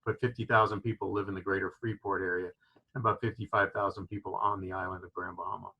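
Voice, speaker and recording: A man's voice: deep voice, flat delivery, fast talker, gravelly, sharp tone, husky, low-quality recording. A man from Florida who owns a fishing lodge, explaining with enthusiasm and many "you know" fillers on a video call. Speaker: fishing lodge owner, guest. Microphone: video call microphone.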